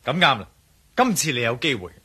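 Armed hero, forceful bright voice, rough edge, menacing, sardonic, Hong Kong accent, radio drama. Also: male voice, mid-range voice, sing-song, fairly quick, full character voice. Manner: commanding, sardonic. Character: menacing male hero with a gun, demanding answers. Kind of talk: Cantonese radio drama acting.

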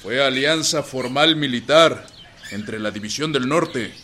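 A man's voice: medium pitch, a little slow, a crowd in the background, natural voice. An actor playing a revolutionary man who emphatically proclaims points in a radio drama. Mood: proclaiming, emphatic.

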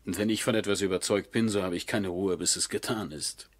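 Captain, male: deep-leaning, slightly rough voice, courteous and exact, measured delivery for a period radio play. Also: fairly even intonation. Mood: earnest, relieved. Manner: measured, formal, precise. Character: earnest, courteous military captain with a scientific bent. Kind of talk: 1970s German radio drama acting.

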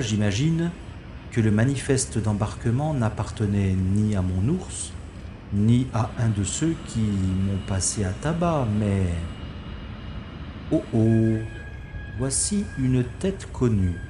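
An actor playing a wry, stubborn special agent who narrates his own science-fiction audio drama in a sardonic, deliberate way. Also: on the low side, animated, background noise. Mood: watchful, wry.

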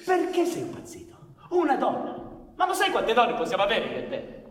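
An actor playing a reckless, fiery anarchist outlaw on stage, agitated, accusing and insistent. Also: male voice, extremely high pitch, wildly swooping pitch, a little fast, exaggerated voice. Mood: accusing, taunting. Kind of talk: live theatre acting. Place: on stage in a theatre. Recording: echoey theatre hall, audience noise.

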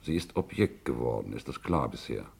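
An actor playing a pompous, philosophizing rogue in a German radio crime play, gravelly and grandiloquent, lecturing as a self-styled aesthete. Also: male voice, very deep voice, very animated, brisk, darkish tone, full character voice. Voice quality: gravelly, husky.

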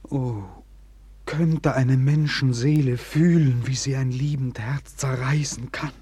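An actor playing a heartbroken, despairing young lover in a 1940s German radio drama, with a husky voice and slow, pleading declamation. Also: male voice, mid-range voice, fairly even intonation, warm tone, no echo. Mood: bitter despair.

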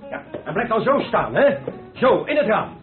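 A man's voice: high-pitched, sing-song, normal speed. A man playing a jovial, plain-spoken painter who loves life, hearty and blunt, in a Dutch radio play. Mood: jovial, bustling. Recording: muffled old radio recording, faint music.

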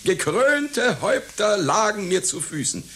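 An actor playing a vain, melancholy aging circus clown in a radio play, pompous and halting, with stammers. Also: male voice, high-pitched, sing-song, sharp tone, full character voice. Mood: haughty, melancholy. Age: older. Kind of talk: satirical radio play acting. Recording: hissy radio play recording.

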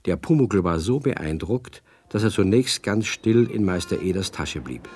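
A man's voice: low voice, lively intonation, a little slow, a little mellow, a little hiss or hum. A man narrating a children's radio story in a scripted storytelling style.

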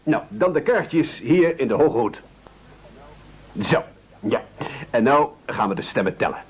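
An actor in a radio play playing a pompous, scheming leader who speechifies in formal, flowery phrases. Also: male voice, medium pitch, very animated, unhurried, slightly rough. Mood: brisk, officious. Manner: oratorical, grandiloquent, formal. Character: pompous, scheming, silver-tongued male leader of castaways. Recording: dull, lo-fi radio play recording.

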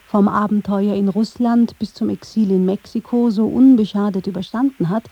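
Woman narrator with a very dark, mellow voice. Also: mid-range voice, fairly even intonation, normal speed, breathy edge, dry recording, muffled recording. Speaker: documentary narrator. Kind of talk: scripted radio feature narration.

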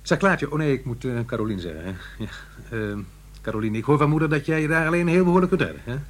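A man's voice: a little high, expressive, fast. An actor playing a regretful, lonely middle-aged divorced father in a radio play, speaking hesitantly and searching for words. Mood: awkward, tentative. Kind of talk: Dutch radio drama acting. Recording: noisy, humming radio play recording.

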